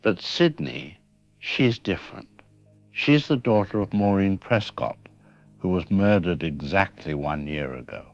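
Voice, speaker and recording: A man playing a professor interviewed as an expert in a radio drama, speaking in a measured, analytical way. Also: deep voice, expressive. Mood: calm, grave. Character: grave male professor explaining a killer's mind. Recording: dull lo-fi radio sound with faint music.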